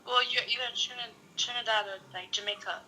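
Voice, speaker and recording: A woman's voice: fairly high pitch, fairly even intonation, a little fast, crowd noise, rough recording. A woman, a stranger on a video chat app, talking to him in a blunt and teasing way. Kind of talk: casual chat on a video call. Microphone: phone on a video chat app.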